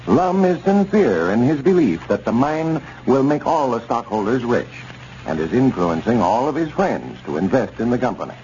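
Casting: male American vintage radio announcer, mid-pitched, lively intonation, scripted pitch delivery, dull recording with light noise. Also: moderate pace. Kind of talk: 1930s radio announcing, scripted sponsor narration.

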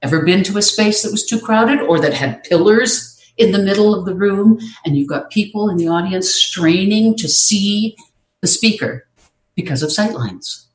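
Speaking coach, instructive talk, measured, explanatory, middling pitch, echoey room. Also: lively intonation. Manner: measured, explanatory, occasional hesitations. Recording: roomy echo.

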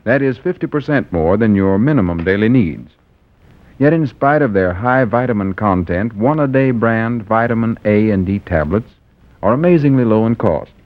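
A man reading a 1940s radio commercial as announcer, measured, emphatic and persuasive. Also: fairly low pitch, animated, no echo. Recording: thin, narrow-band vintage radio recording.

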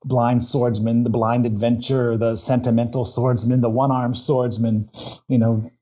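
Rambling, soft-toned man with muffled sound. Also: medium pitch, flat delivery, measured pace. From grew up overseas, mainly Singapore. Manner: rambling, many fillers and restarts. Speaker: guest. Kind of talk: casual interview talk.

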